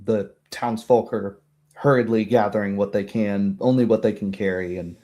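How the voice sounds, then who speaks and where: Low-voiced man narrating as game master. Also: steady tone, normal speed, dark tone. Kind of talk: improvised tabletop game narration.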